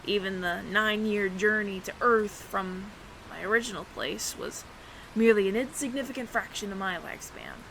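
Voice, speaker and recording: A woman's voice: medium pitch, moderate pace, vocal fry, fairly bright tone, slight background noise, rough recording. A woman voicing a friendly, flustered alien roommate who passes as human, speaking to the listener in a hesitant, stammering, earnest ramble in an audio roleplay. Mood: musing.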